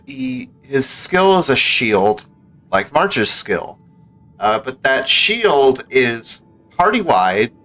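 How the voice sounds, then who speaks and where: Brief: male streamer, bright tone, chatty play-by-play, faint game music underneath. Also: mid-range voice. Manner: chatty, thinks aloud with restarts. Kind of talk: casual gameplay commentary.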